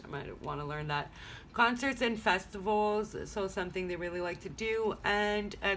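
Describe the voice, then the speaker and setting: A woman's voice: on the low side, a bit bright, a little hiss or hum. A woman whose first language is French, chatting casually as she reads tarot cards at home, rambling and thinking aloud. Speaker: tarot reader. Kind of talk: casual card-reading vlog. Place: home room.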